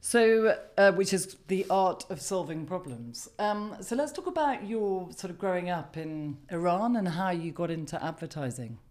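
A woman's voice: lowish voice, expressive, normal speed, few pauses, a little mellow. Hesitant woman podcast host. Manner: hesitant questions with restarts. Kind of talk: podcast interview.

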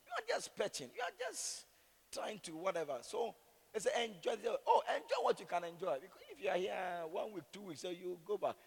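Ghanaian man, preacher. Gravelly, fairly high voice, opinionated and rhetorical, repeating himself for emphasis. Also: a little fast. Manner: animated, rhetorical questions, repeats phrases for emphasis. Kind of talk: sermon with conversational, opinionated asides. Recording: echoey hall with long reverb.

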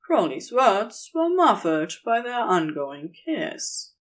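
A woman's voice: mid-range voice, big pitch swings, slow pace. A woman narrating scripted romantic fan fiction in an intimate storytelling style.

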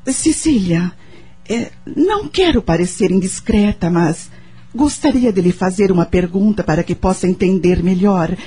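Devout Brazilian wife, soft dark husky voice. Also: female voice, medium pitch, lively intonation, moderate pace. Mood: confiding, tentative. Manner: tentative, sincere. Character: devout, tactful young wife confiding in her friend. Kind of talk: radio drama acting. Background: background music.

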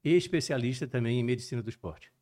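An older man with a mixed Minas Gerais and Rio Brazilian accent, a cardiologist guest telling his story in a measured, detailed, professorial way. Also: medium pitch, a little mellow. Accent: mixed Brazilian Portuguese, Minas Gerais and Rio de Janeiro. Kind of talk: relaxed storytelling interview.

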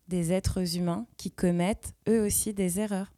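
A woman in her thirties hosting a podcast, speaking in an intimate, earnest and deliberate personal monologue. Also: lowish voice, steady tone, dark tone, dry recording. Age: 30s. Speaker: podcast host.

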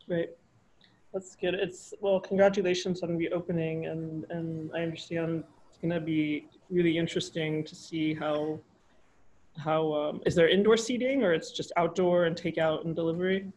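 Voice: mid-range voice, fairly even intonation, moderate pace, a little mellow, a little hiss or hum, poor audio. An interviewer asking hesitant, encouraging questions in a conversational way.

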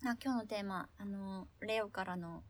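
A young woman co-hosting a podcast in a casual group chat, speaking a little haltingly. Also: mid-range voice, very noisy. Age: young adult. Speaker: podcast co-host.

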